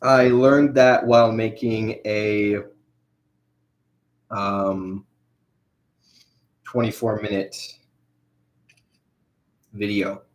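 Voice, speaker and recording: A man's voice: lowish voice, flat delivery, extremely slow, pauses often. A young man chatting casually on a livestream while he animates. He rambles, with lots of fillers and restarts.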